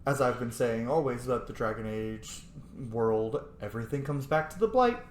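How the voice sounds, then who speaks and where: A man's voice: mid-range voice, lively intonation, normal speed. Casual man commentating in an echoey room. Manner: opinionated, informal reactions. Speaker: gamer narrating a playthrough. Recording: echoey room, faint game noise behind.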